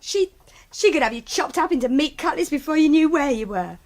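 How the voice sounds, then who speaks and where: Radio drama: jittery servant woman, high voice, colloquial and put-upon. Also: animated, brisk. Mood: frightened, indignant. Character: jittery, indignant female kitchen servant.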